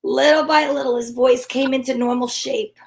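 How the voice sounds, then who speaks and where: Plucky female private eye played by a woman, high-pitched, dramatic radio-play storytelling, faint background noise. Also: steady tone, moderate pace, creaky. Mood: wry narrating. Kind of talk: old-time radio detective acting, first-person narration.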